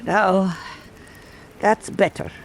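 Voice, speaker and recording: A man's voice: high-pitched, steady tone, very slow, slight background noise, exaggerated voice. An actor playing a shaky, boastful old half-blind storyteller man in a radio drama. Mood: proud, reminiscing.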